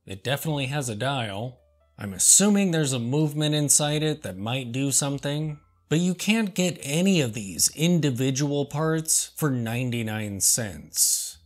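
A man hosting a comedy show, reading product reviews aloud and answering them with deadpan sarcasm. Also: mid-range voice, soft background music. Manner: dry, sarcastic, slow and deliberate. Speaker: host.

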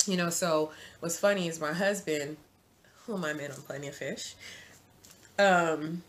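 A woman in her forties giving casual dating advice to viewers from her home, chatty and animated, calling the viewer sis. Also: low voice, unhurried. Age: 40s. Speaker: vlogger. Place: home room, indoors.

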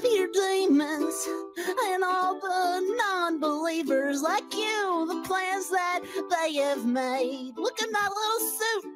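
A woman's voice: extremely high pitch, takes it slowly. A woman voicing a cutesy, menacing small boy showman in an improvised comedy cartoon fandub. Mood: theatrical singing. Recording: music loudly behind the voice.

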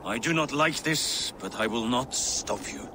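A man's voice: medium pitch, animated, unhurried, background noise, close to an everyday voice. A man playing a cautious, weary older Viking king and father in a video game, grave and disapproving. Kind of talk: dramatic video game acting.

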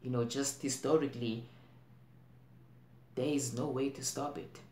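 A man acts out an anxious, brooding figure in a stage monologue. He drags out each phrase with heavy, deliberate pauses and emphatic swells. The room echoes around him, with faint noise underneath. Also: mid-range voice, fairly even intonation, darkish tone, voice pushed up, lightly acted. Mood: grimly insistent. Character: anxious, intense man brooding on global plagues. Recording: roomy echo, faint background noise.

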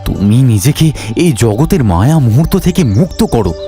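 A man's voice: medium pitch, big pitch swings, normal speed, over music, lightly acted. A man voicing a crafty, earnest married village grandfather in a cartoon, with theatrical delivery. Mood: earnest, pleading. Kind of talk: Bengali cartoon voice acting.

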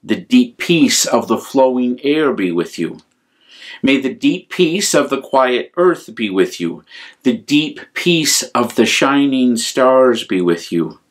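A man's voice: medium pitch, expressive, slow pace. A man in his fifties, a priest hosting a children's story time, talking informally and devotionally in simple short phrases. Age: 50s. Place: small furnished room at home, indoors.

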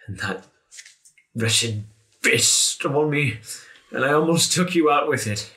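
A man's voice: mid-range voice, a little slow, pushed and bright, pitched above the performer's own voice. A man playing a grief-stricken, self-blaming male adventurer in a tabletop game, with a despairing, confessional manner. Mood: sorrowful.

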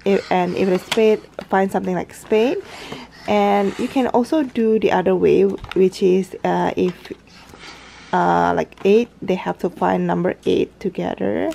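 Young mother with a gravelly voice. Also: medium pitch, fairly even intonation, unhurried, warm tone, slight background noise. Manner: chatty, explains step by step with many "like" fillers. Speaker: parenting vlogger, mother. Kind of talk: casual how-to vlog narration. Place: living room at home, indoors. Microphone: handheld camera filming from above, speaker off screen.

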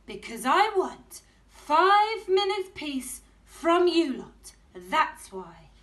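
A woman's voice: high-pitched, sing-song, slow, far from the mic, pitched above the performer's own voice, heavily acted. A woman reading aloud, voicing a weary, exasperated mother who wants peace. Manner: exasperated, sighing.

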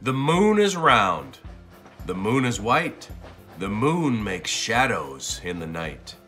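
A man reading a children's storybook aloud in an expressive, sing-song and deliberate way. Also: lowish voice, faint music behind. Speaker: storybook narrator.

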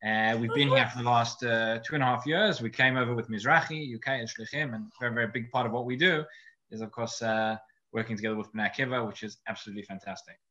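A man's voice: medium pitch, fast, bright, forceful tone. A man with a South African accent, a rabbi guest speaking earnestly and repeating words for emphasis. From Johannesburg, South Africa. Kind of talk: casual podcast chat. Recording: dull lo-fi audio.

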